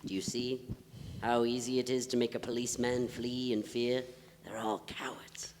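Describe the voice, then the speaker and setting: A man's voice: medium pitch, little pitch movement, moderate pace, darkish tone, lightly acted. A man playing a melancholy, pompous poet and part-time detective in a staged play reading, with florid, declamatory delivery. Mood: bitter, sarcastic. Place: live on a theatre stage. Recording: reverberant theatre hall.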